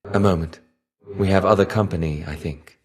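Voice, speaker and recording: A man playing a weary, melancholy shade of a fallen ancient Greek warrior in a video game, measured, wistful and dryly understated. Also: low-pitched, steady tone, a crowd in the background, close to an everyday voice. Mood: gentle, calm. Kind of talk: video game character voice lines.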